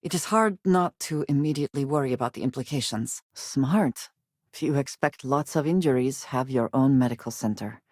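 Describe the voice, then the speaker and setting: A guarded woman space explorer from a video game. Her speech is formal, serious and measured, with few contractions. The recording is close and very dry. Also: very deep voice, a little mellow, dry recording. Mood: calm, sincere. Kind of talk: scripted video game companion dialogue.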